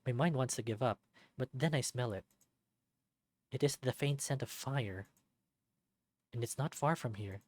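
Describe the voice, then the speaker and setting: A man reading a visual novel aloud as narrator, in an expressive, dramatic storybook style. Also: medium pitch, measured pace, long pauses, no room sound.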